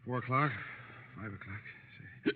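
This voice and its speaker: An actor playing a nervous, meek young lawyer and self-pitying husband in an old radio drama, hesitant and stammering. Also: male voice, mid-range voice, moderate pace, lightly acted. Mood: sullen, drunk. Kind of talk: 1930s-40s radio acting. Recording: muffled vintage radio recording, echoey, faint hiss.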